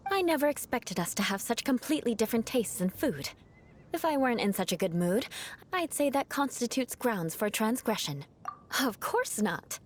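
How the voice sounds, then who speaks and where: A woman's voice: on the high side, animated, normal speed, a little hiss or hum, close to an everyday voice. An actress playing a proud, aloof young woman knight in a game, speaking in a dry, haughty, sardonic way. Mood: wry.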